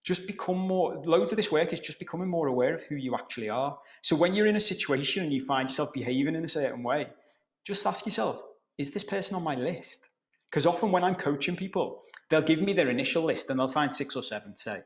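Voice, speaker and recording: A middle-aged man from Liverpool with a softened Scouse accent, explaining ideas as a coach on a podcast. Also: a little high, animated, a little fast. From Liverpool, England. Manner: explanatory, conversational, occasional swearing. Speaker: guest coach. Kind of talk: podcast conversation.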